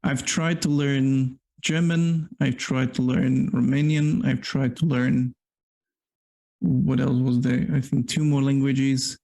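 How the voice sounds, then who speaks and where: Gravelly man. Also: mid-range voice, steady tone, slow, mellow. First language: Slovak. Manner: explains at length with many restarts. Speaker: podcast co-host. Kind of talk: casual chat.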